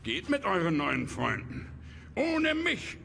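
Gravelly, grumpy old dwarf. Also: male voice, high-pitched, huge pitch swings, slow, background noise, full character voice. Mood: grumbling, bitter. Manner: grumbling, indignant. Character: grumpy, stubborn, vengeful old male dwarf warrior.

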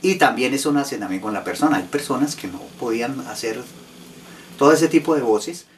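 Older man, lively pitch movement, casual explaining, slightly off-mic. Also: fairly quick. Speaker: radio actor being interviewed. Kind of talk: casual explanatory interview talk. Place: living room. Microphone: handheld microphone. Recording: slightly distant, faint room noise.

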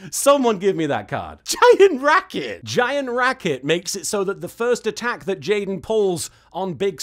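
A man in his thirties hosting a comedic recap show, with a sarcastic, exasperated and expressive delivery. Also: high voice. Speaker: host of a reaction recap show. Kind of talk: comedic scripted recap commentary to camera.

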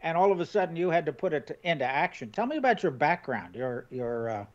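A man in his sixties hosts an interview over a video call, wearing a headset. His voice sits slightly high for a man, and he speaks haltingly with many uh fillers as he forms his questions. The call audio is dull and lo-fi. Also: very animated. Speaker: host.

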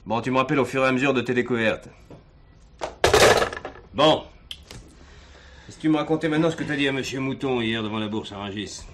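An actor playing a wry, unflappable police commissioner in a French radio crime drama, dry, familiar and commanding. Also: male voice, mid-range voice, brisk, pauses often, lightly acted. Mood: businesslike. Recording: clearly noisy background.

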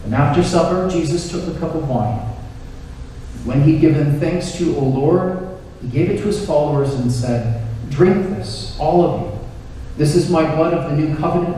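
Male clergyman for formal Eucharistic prayer: solemn, slightly high voice, distant in a very echoey hall. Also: normal speed, dark tone. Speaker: Anglican priest. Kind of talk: liturgical prayer recitation. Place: inside a church at the altar. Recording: echoey church hall, distant, faint noise.

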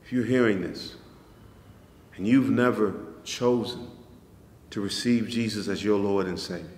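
A pastor in his forties preaching a sermon in a church sanctuary, measured and rising on key phrases, then dropping soft at the ends of lines. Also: on the low side. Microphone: stand mic in front, camera at a distance. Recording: echoey church hall.

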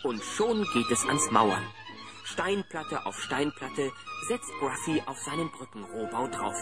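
A man narrating a children's audio drama in a lively storytelling style. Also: medium pitch, unhurried. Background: loud music.

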